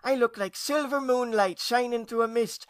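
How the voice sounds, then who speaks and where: Man as a high-voiced little girl ghost. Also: normal speed, dry recording. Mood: dreamy, pleased.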